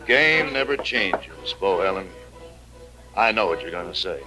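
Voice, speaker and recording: An American actor playing a cynical, world-weary gunfighter sheriff in an old-time radio western, speaking tersely and fatalistically. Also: male voice, sits low, lively intonation, unhurried, music in the background, poor audio. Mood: cool, knowing. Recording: vintage western radio drama recording.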